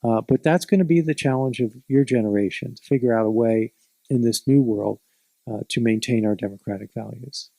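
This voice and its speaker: A man's voice: mid-range voice, a little fast, mellow, no room sound. A man speaking as a panelist at a public policy forum, reflective and earnest, with frequent restarts.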